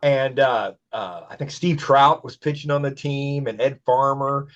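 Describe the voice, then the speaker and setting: A man's voice: medium pitch, steady tone, unhurried, a bit bright. A man of sixty chatting casually as a podcast co-host, folksy and rambling, on a dull lo-fi recording. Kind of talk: casual chat, reminiscing. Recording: dull lo-fi sound.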